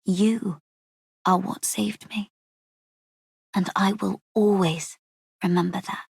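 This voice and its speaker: An actress voicing a soft-spoken, grateful young woman in a video game, with a hushed, husky, creaky voice. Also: medium pitch, steady tone, takes it slowly, no echo, clean studio sound, full character voice. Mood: tender, grateful. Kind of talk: scripted game character lines.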